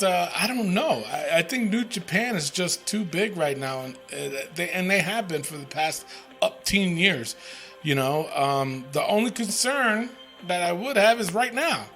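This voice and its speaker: Bright-voiced man rambling on a podcast. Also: high voice, animated, measured pace, faint music behind. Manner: rambling, lots of fillers and swearing. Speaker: podcast host. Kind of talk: casual wrestling podcast chat.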